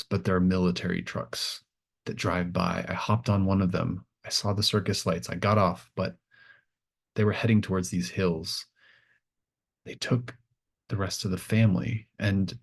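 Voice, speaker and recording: Man voicing earnest young follower, low pitch, plain halting speech, sincere, pleading, tabletop game character. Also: gravelly, breathy edge, full character voice.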